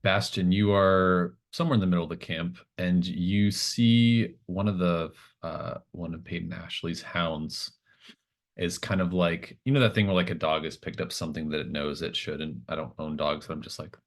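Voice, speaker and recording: A man acting as game master, narrating a tabletop roleplaying game casually and thinking aloud with hesitant pauses. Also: low-pitched.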